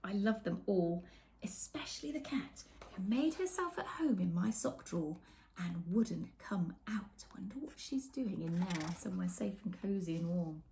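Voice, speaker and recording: A middle-aged woman reading a picture book aloud to children, animated and expressive, with chatty asides and hushed, dramatic phrasing. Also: medium pitch, a little hiss or hum. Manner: animated, dramatic pauses, drops into whispers. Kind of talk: expressive storytime read-aloud with asides to young viewers. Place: small room with bookshelves, indoors.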